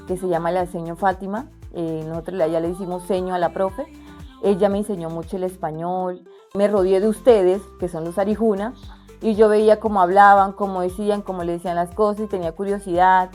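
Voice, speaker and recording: Young wayuu woman from la guajira, mellow, soft music underneath, earnest, halting with restarts. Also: mid-range voice, steady tone. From La Guajira, Colombia. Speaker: interviewee, artisan entrepreneur. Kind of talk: casual interview.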